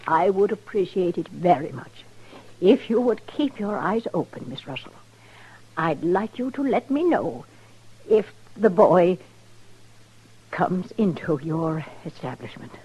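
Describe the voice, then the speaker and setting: An imperious old society matron, speaks in formal, genteel phrases. Her husky voice swoops up and down with condescension. It sounds like vintage radio drama. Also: lowish voice, big pitch swings, unhurried, a little mellow, lo-fi sound, heavily acted. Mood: haughty, insistent. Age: older.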